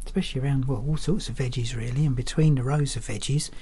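A woman's voice: a bass voice, fast talker, warm tone, husky. A woman hosting a podcast, chatting casually about a product. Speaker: podcast host.

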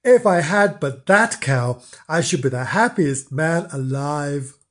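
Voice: medium pitch, slow. Mister Vinegar, eager foolish countryman, read aloud. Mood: eager wishful. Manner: eager, wistful, excitable.

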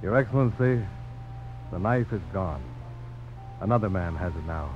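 An actor playing a polite, steady frontier adventurer, earnest and understated, in an old radio drama. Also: male voice, deep voice, normal speed, slight background noise. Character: polite, steady frontier adventurer, a famous knife duelist in love. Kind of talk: 1950s radio drama acting. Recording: dull old radio broadcast recording.

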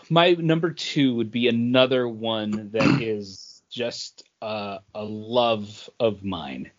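A man's voice: on the low side, slow. Man chatting casually on a muffled recording. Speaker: podcast co-host. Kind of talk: casual podcast chat. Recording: dull, band-limited podcast audio.

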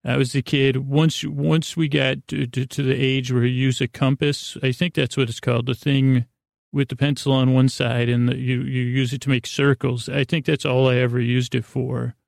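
A man hosting a sleep podcast in a creaky, lulling voice, rambling along in a meandering, halting bedtime monologue that often interrupts itself. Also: medium pitch, level tone, a little mellow, no room sound, clean studio sound. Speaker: podcast host.

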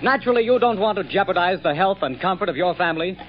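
A man working as an announcer, reading a 1940s radio commercial with polished, measured salesmanship. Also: high voice, animated, faint music behind. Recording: dull old radio recording.

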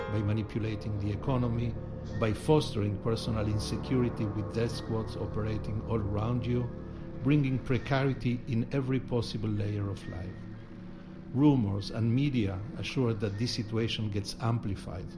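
A mature man from Argentina giving a measured, articulate reflection in a deliberate, grave manner. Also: fairly low pitch. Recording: music playing behind the voice.

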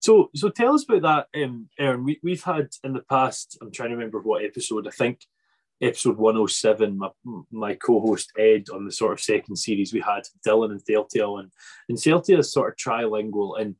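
A man's voice: lowish voice, a little fast, a little mellow. A man hosting a casual interview podcast in a chatty, rambling way, often breaking into his own sentences. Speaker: podcast host.

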